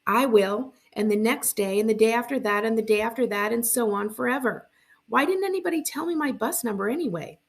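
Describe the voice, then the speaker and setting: A woman acts out an embarrassed middle-school girl from a storybook. She is dramatic and sarcastic, with a raised pitch. Her delivery is exasperated and emphatic. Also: fairly quick, lightly acted. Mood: exasperated, sulky.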